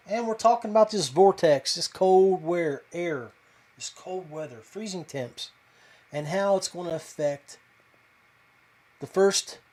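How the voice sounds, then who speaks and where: A man hosting a live podcast, talking casually and folksily to viewers in a hesitant, rambling way. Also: fairly high pitch. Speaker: podcast host.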